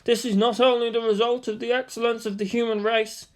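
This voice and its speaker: A man voicing a formal schoolteacher in an audiobook, lecturing in a measured, declamatory way. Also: medium pitch, little pitch movement, voice pushed up, close to an everyday voice. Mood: solemn lecturing.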